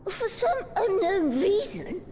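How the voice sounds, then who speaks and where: A woman voicing a small, high-strung rabbit-like creature in a video game, with a flustered, dramatic manner. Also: lively intonation, slow pace, warm tone, slight background noise, heavily acted. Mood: flustered, anxious. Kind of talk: video game cutscene acting.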